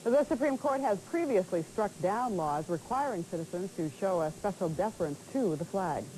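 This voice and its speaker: A woman's voice: mid-range voice, expressive, normal speed, warm tone, poor audio. A woman anchor reading scripted television news, heard from a hissy old broadcast tape.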